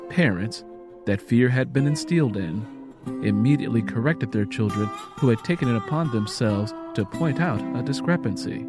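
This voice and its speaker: A man narrates a scripted story as an audiobook-style storyteller. His pitch sits in a middle male range, and music plays clearly behind his voice.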